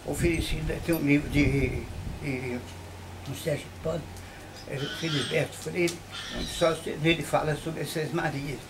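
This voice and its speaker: An older man from Bahia, telling local history in an interview at an old mansion, unhurried and full of tag questions. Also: fairly high pitch, steady tone, noisy background. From Bahia, Brazil. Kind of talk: reminiscing interview.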